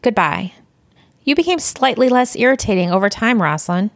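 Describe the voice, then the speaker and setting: A woman's voice: medium pitch, expressive, brisk, no echo, close to an everyday voice. A woman playing a stern, exasperated alien empire commander in an audio drama, speaking formally with dry, curt delivery.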